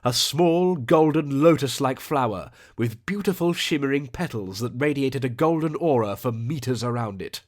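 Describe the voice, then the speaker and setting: A man's voice: mid-range voice, expressive, normal speed, few pauses. A man narrating a scripted audiobook adventure in a theatrical, descriptive storytelling manner.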